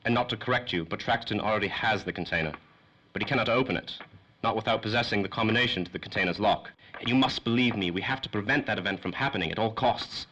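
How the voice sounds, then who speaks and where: Polite old doctor, sharp voice, talks fast, conspiratorial, old radio play. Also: male voice, on the low side, husky, highs cut off. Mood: urgent, secretive. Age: older. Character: courteous, secretive old male doctor. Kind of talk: 1930s radio play acting.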